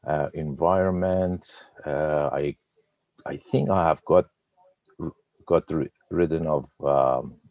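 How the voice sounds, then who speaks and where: A man in his fifties with a Persian accent, telling his personal story as a guest on a webinar, halting and repeating words with a slight stutter. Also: extremely low pitch, darkish tone, no echo, highs cut off. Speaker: guest trader. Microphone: video call.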